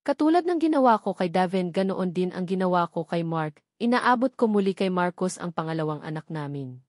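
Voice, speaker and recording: A woman's voice: on the low side, expressive, a little fast, a little mellow, no echo. Woman narrating a story, very dry sound. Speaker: audiobook narrator. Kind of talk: scripted first-person story narration with voiced dialogue.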